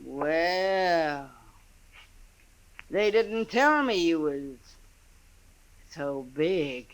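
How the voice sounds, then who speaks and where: A woman's voice: fairly low pitch, expressive, extremely slow. An actress playing a tough, proud, plainspoken frontier woman with rustic speech in a 1950s western radio drama. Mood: challenging. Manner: rustic, ungrammatical, brassy talk.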